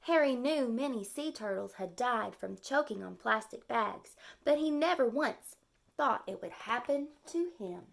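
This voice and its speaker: A woman's voice: on the high side, expressive, a little slow, gravelly. A woman reading and explaining a children's story expressively, in simple phrases aimed at young listeners.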